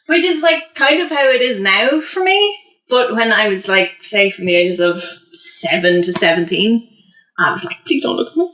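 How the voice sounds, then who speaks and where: Bright-voiced young Irish woman, animated storyteller. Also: medium pitch, normal speed. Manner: animated, acts out quoted remarks. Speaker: guest. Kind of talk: casual chat, personal storytelling. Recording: roomy echo, faint noise.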